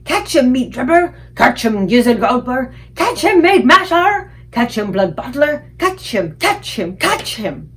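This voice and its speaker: A woman voicing a huge, crude, bullying giant in a children's storybook, booming out taunts in broken grammar. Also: sing-song, unhurried, hardly any pauses, fairly bright tone, heavily acted. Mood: rowdy shouting. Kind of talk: children's storybook read aloud.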